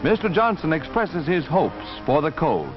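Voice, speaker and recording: A man's voice: fairly high pitch, big pitch swings, normal speed, music in the background. An American man reading scripted 1940s newsreel narration in a declamatory announcer style. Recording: dull 1940s newsreel soundtrack.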